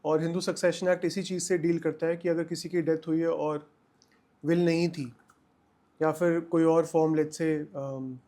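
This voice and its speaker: High-voiced man explaining, emphatic. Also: flat delivery, normal speed, warm tone. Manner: emphatic, repeats points for clarity, mixes in English terms. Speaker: legal expert guest. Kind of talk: explanatory podcast interview answers.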